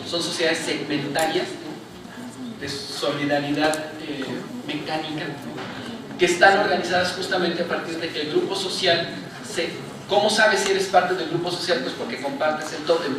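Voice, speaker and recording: A man's voice: a little high, moderate pace. A man with a Mexican accent, a book-presentation panelist giving an academic answer in a university lecture hall, explaining step by step and often closing phrases with a questioning tag. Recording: echoey hall, picked up from far off, noisy.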